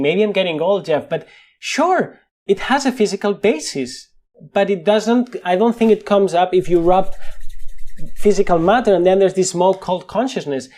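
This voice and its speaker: A man with a Spanish accent, a scientist guest talking in an animated, conversational way and often laughing. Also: high voice. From Spain. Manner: animated, laughs often, repeats words when stressing a point. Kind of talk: conversational interview.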